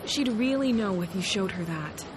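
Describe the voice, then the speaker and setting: A woman's voice: mid-range voice, expressive, normal speed, background noise, close to an everyday voice. Woman as an earnest, anxious young alien woman. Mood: pleading. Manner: hesitant, earnest. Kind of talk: science fiction audio drama acting. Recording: loud music under the voice.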